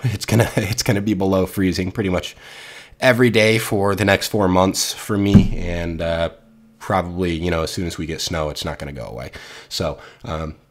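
An American man with a stuffy, slightly nasal voice from a head cold, rambling casually over a game build. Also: sits low. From United States. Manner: rambling, chatty, many ums and restarts. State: recovering from a head cold, congested and a little nasal. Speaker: game building channel host.

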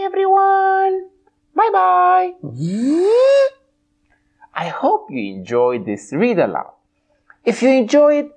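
Animated, slow-paced male storybook reader. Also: fairly high pitch. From Romania. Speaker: read-aloud host. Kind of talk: expressive children's storybook reading. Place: small room indoors.

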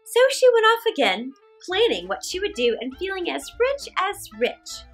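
A middle-aged woman reading a story aloud to young children in a theatrical, expressive way with drawn-out words. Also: extremely high pitch, faint music behind.

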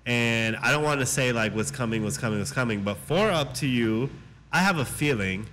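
A man's voice: medium pitch, expressive, moderate pace, fairly bright tone, a little hiss or hum. A man in his early thirties from Afghanistan hosting a casual radio interview, enthusiastic, swearing casually and repeating himself. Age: 30s. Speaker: radio host.

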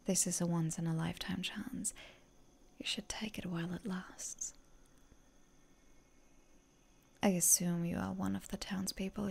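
A woman playing a seductive, genteel lady vampire in a breathy, coaxing whisper for roleplay ASMR. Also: fairly low pitch, exaggerated voice. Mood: reassuring, seductive.

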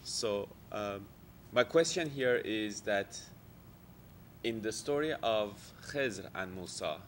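A man's voice: fairly low pitch, expressive, slow pace, a little hiss or hum. A man in the audience asking a long question, hesitant and thinking aloud. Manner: hesitant, many fillers.

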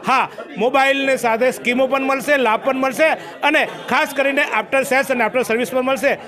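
High-pitched, fast-talking chatty reporter man. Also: steady tone, bright, forceful tone. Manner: chatty, salesy, jokes with viewers. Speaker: local news reporter. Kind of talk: on-the-spot promotional report. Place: crowded mobile shop, indoors. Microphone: handheld reporter mic.

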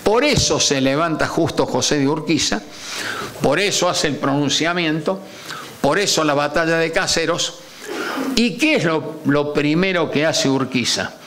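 An older man with an Argentine accent lectures from a panel table, his voice sitting somewhat high for a man. He is animated, stretching key words out for weight and tossing in rhetorical questions. He speaks into a gooseneck microphone in a conference room. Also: a little slow. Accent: Argentine Spanish. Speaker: lecturer on constitutional history. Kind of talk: academic lecture.

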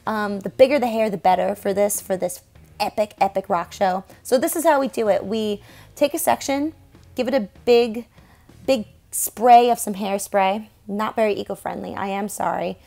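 A woman's voice: medium pitch, moderate pace, vocal fry, dark tone. Chatty young woman narrating a makeup tutorial. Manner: chatty, self-deprecating, laughs often. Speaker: stage performer and makeup vlogger. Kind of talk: casual tutorial voice-over.

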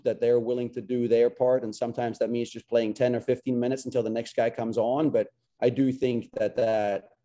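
Dark-voiced coach, earnest and halting. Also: male voice, mid-range voice. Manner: earnest, repeats words when starting sentences. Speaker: head soccer coach. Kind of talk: post-game press conference answers. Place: small locker room, indoors. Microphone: video call webcam.

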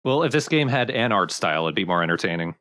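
A man's voice: sits low, big pitch swings, fast, fairly bright tone. A man co-hosting a let's play, giving casual game commentary with dry sarcasm.

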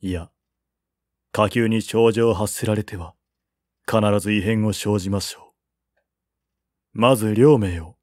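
A man voicing a calm, shrewd strategist counselling his lord in a novel reading, polite and deliberate. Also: lowish voice, dry recording, studio quality, natural voice. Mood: calm, cautioning.

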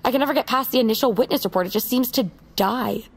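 A woman's voice: on the high side, quick pace, breathy edge. An actress playing a determined, vengeful young woman, blunt and resolute, in a naturalistic audio drama. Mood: grim determination.

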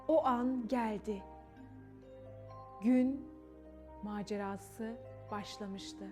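A young woman narrating a children's story in a theatrical, emphatic way, speaking directly to her young listeners. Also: on the high side. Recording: music behind the voice. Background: background music.

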